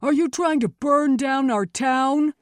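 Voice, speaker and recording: A woman's voice: sits high, takes it slowly, dry recording, pitched above the performer's own voice. Woman acting snarling burly angry townsman, raised voice. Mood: enraged. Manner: snarling, growling, accusing. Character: tall burly man, red-faced, enraged mob leader. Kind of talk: audiobook character voice.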